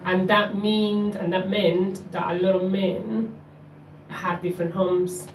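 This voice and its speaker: A woman's voice: medium pitch, little pitch movement, takes it slowly, slight background noise. Earnest Bolivian-born woman, distant mic. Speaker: audience member. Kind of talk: open panel discussion. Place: community event room. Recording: echoey room, distant mic.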